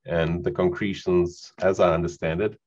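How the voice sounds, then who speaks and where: A middle-aged man with a South African accent gives a relaxed online presentation with lots of fillers, over a video call microphone. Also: low voice, moderate pace, highs cut off. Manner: relaxed, conversational, frequent fillers.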